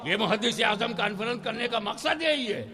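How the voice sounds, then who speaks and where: A man delivering an impassioned religious speech, shouting rhythmic refrains to a gathering. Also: very high voice, brisk. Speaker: Islamic preacher.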